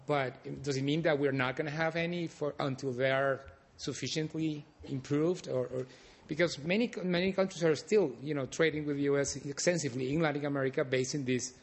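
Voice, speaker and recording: A man's voice: a little high, steady tone, a little fast. Earnest man asking a question. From Costa Rica. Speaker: audience member asking a question.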